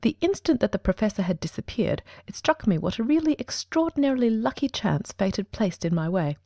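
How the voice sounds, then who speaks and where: A woman playing a composed, precise male detective in a dramatic audiobook, speaking in a measured, formal and articulate way. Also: medium pitch, dark tone, dry recording, studio quality, close to an everyday voice.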